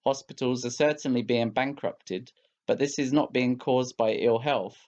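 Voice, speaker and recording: A man's voice: medium pitch, fairly even intonation, normal speed, darkish tone. A man reading a scripted political commentary in a formal, polemical manner on a slightly dull recording.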